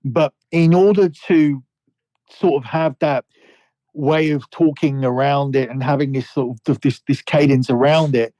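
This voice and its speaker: A man with a mellow, warm mid-range voice breaks down a wrestler's character in steady, explanatory talk. He is close and dry on the mic, in a relaxed podcast setting. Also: mid-range voice, unhurried, no echo, rough recording. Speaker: podcast co-host. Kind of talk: casual wrestling analysis.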